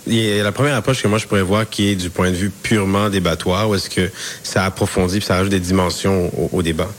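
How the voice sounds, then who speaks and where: A man's voice: deep voice, fairly even intonation, quick pace, slight background noise. A young man in his twenties, a student, answering interview questions with many fillers and restarts. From Rwanda. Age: 20s. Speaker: student guest.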